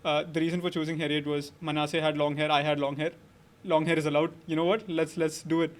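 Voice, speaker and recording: A man's voice: a little high, flat delivery, fast talker. A young man in his twenties from Saudi Arabia, a podcast guest chatting casually and stringing his thoughts together with many fillers. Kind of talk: casual interview conversation.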